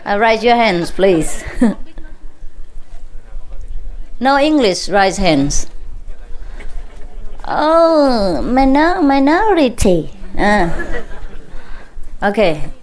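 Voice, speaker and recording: A woman's voice: mid-range voice, extremely slow, long pauses. A woman with a Vietnamese accent speaking informally to a gathering as a spiritual teacher, playful and teasing, often laughing as she talks. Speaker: spiritual teacher addressing followers. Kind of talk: informal talk with joking banter to an audience. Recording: clear background noise, live gathering.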